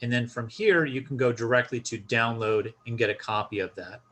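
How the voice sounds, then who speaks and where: A man's voice: medium pitch, fairly quick, a bit bright, a little hiss or hum. A man presenting an informal step-by-step tutorial, explaining a screen share in a casual instructional style.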